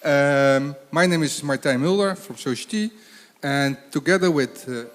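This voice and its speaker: Halting male presenter in an echoing hall. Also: fairly high pitch. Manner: halting, many fillers and restarts. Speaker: consultant, conference speaker. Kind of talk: conference presentation. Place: conference hall with audience. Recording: long hall reverb.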